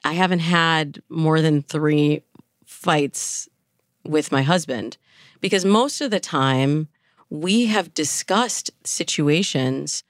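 Low-voiced American woman giving advice. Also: slow pace, no room sound, studio quality. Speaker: dating coach, podcast guest. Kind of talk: conversational expert advice.

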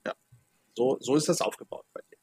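A man's voice: a little fast, darkish tone, dry recording. A man hosting a podcast in casual chat, chatty and full of fillers. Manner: chatty, many fillers, talks of his age. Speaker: podcast host.

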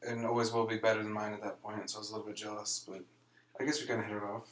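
A man's voice: on the low side, level tone, a bit bright, slight background noise. Laid-back young man, echoey room. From Seattle, USA. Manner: laid-back, dry, halting. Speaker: guest.

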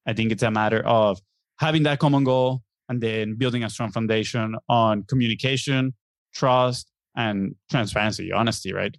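A man's voice: on the low side, moderate pace, no room sound, studio quality. A man in his thirties from Venezuela, earnestly explaining his views as an interview guest and often checking in with "right?". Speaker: guest, business co-founder. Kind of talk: casual interview conversation.

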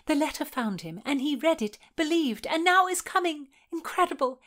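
A woman voicing an earnest, steady young Tudor woman who talks with quiet urgency. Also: high-pitched, normal speed, pitched above the performer's own voice.

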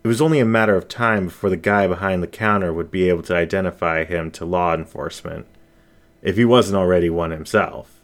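A man narrating a scripted story in a dramatic, suspenseful and expressive way. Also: low-pitched, brisk. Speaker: story reader.

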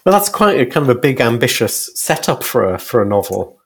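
A man's voice: medium pitch, very animated, fairly quick, husky. A man hosting a conversational interview, phrasing his remarks and questions in a tentative, thoughtful way. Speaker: interviewer, host.

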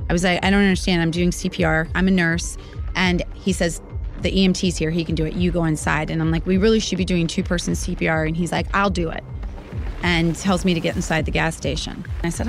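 A woman, a nurse, recounting a frightening event in an interview, telling it vividly with quoted dialogue. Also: lowish voice. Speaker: nurse and witness.

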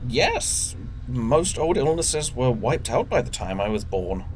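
A man plays an ailing, stubborn male leader in a sci-fi audio drama. His delivery is halting and formal, weakened by illness, with a pitch that swings widely over some background noise. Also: sing-song, low-quality recording. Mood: anxious, unwell. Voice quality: coughing, weak. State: ill, coughing. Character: sick, stubborn older male time-travel crew leader.

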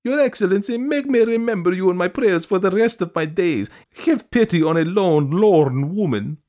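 A man voicing a whining, stubborn middle-aged woman who pleads her case in a shrill, insistent wail from a storybook. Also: sing-song, a little mellow. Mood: wailing, pitiful. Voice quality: shrill, piping. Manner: wailing, insistent, rapid complaining.

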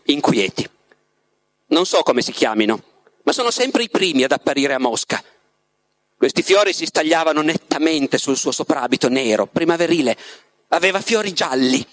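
A lovestruck, troubled writer, performed by a male reader confides his story dramatically in a fairly high male voice. He turns to his listener with questions as in a literary monologue. The sound is close and dry. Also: fairly bright tone, no room sound. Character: lovestruck, tormented male writer in a psychiatric clinic.

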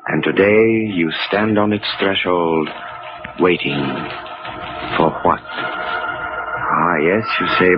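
An actor playing an ominous narrator of 1940s radio mystery tales, speaking theatrically with portentous, dramatic pauses. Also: male voice, fairly low pitch. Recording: dull old 1940s radio recording, loud music.

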